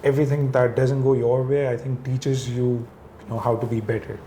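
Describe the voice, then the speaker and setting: A man from Pakistan, a real estate business owner, talking as an interview guest in a reflective, relaxed way. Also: mid-range voice, moderate pace, slight background noise. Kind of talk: conversational interview.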